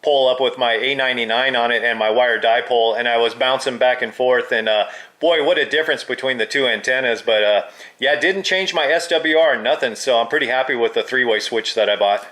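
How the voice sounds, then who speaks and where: A man's voice: medium pitch, fairly quick, a bit bright. A man having a casual hobbyist chat as a radio operator, heard through a two-way radio. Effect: two-way radio transmission. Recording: crackly two-way radio audio.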